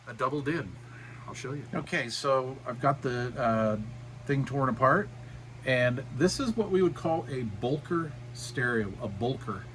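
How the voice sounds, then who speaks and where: A man's voice: medium pitch, fairly bright tone, slight background noise. A middle-aged man giving a casual, unscripted walkthrough of a DIY project in a folksy, rambling way. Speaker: DIY radio hobbyist presenter.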